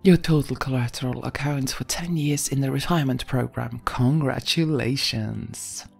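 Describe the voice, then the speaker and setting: A woman voicing a calm, bureaucratic government consul in an audio story, with an even, polite, detached tone and a husky voice. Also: extremely low pitch, moderate pace, faint music behind, exaggerated voice.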